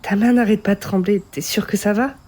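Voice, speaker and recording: A woman's voice: medium pitch, steady tone, a little fast, warm tone, a little hiss or hum, close to an everyday voice. Woman acting a caring, direct teenage girl. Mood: concerned. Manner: casual, earnest, probing. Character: caring, direct teenage schoolgirl, a persistent loyal friend. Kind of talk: amateur webtoon fan dub acting. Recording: voice under clearly audible music.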